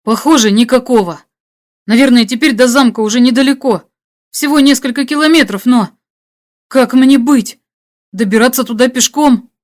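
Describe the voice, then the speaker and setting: A woman's voice: on the high side, moderate pace, pitched above the performer's own voice, close to an everyday voice. A worn-out young adventurer on the run, played by a woman reading an audiobook. He sounds tense and hesitant, anxious yet brave, speaking with urgency. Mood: weary, worried. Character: exhausted, hunted young male adventurer, anxious but brave. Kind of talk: audiobook character dialogue.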